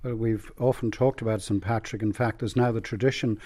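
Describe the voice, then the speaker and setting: A man with a Dublin Irish accent, an archbishop speaking in an earnest, measured way in a conversational joint address. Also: fairly low pitch, steady tone, dark tone. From Ireland.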